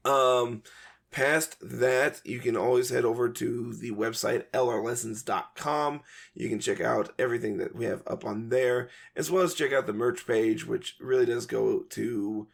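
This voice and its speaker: A man's voice: medium pitch, a little slow, creaky. A man co-hosting a casual podcast, chatty and loose with lots of fillers, his voice starting to wear out. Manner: chatty, relaxed, many fillers. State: voice going hoarse. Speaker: podcast co-host. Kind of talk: casual podcast chat and sign-off.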